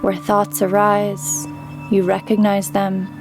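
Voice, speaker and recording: A woman leading a guided meditation with calm, gentle instruction and pauses between phrases. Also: medium pitch. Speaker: meditation guide, yoga teacher. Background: background music.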